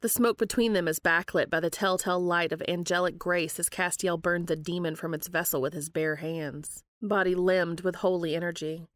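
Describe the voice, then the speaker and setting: A woman's voice: lowish voice, fairly even intonation, normal speed, few pauses, dry recording, studio quality. A woman hosting and reading fan fiction aloud as scripted narration. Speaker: podcast host and reader.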